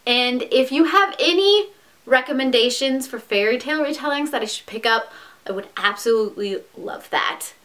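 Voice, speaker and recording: A woman's voice: high-pitched, normal speed, fairly bright tone. A young woman talking casually to camera, recommending books she loves with chatty enthusiasm and the odd restart. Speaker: book vlogger. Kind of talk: casual book recommendation vlog.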